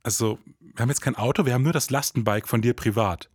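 A man's voice: mid-range voice, fast, husky, no echo, clean studio sound. A man co-hosting a podcast in scripted comic dialogue and interviews, with a conciliatory, eager manner. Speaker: podcast co-host.

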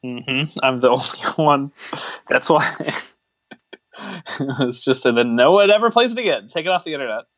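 Male podcast co-host, rambling and joking in a mock-serious way, mid-pitched, dry recording. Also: moderate pace, long pauses, a bit bright, no echo. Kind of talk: casual chat.

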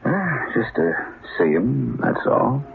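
An actor playing a gruff, folksy, older small-town sheriff with plain rural speech in an old-time radio drama. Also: male voice, medium pitch, sing-song, slow pace, loud music behind the voice, full character voice. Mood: gruff, determined. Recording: noisy, narrow-band 1940s-50s radio recording.

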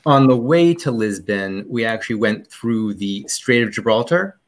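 A man's voice: lowish voice, lively intonation, normal speed. A man as a podcast guest in casual chat, rambling about a trip with many ums and restarts.

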